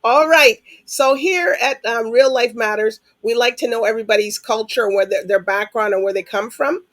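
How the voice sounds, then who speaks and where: A woman hosting a casual interview, chatty and quick to laugh. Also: fairly high pitch, no echo. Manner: chatty, laughs often, animated. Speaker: interview host.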